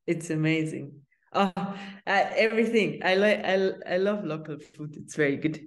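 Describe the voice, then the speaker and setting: A Swiss woman whose first language is Swiss German, speaking English with a Swiss German accent as a guest journalist in a casual interview, thoughtful and hesitant with many pauses. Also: lowish voice. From Switzerland.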